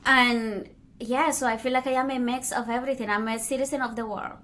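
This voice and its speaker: Expressive woman. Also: on the high side, brisk, a little hiss or hum. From Colombia. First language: Spanish. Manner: expressive, playful storyteller. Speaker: podcast host. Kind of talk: casual interview chat.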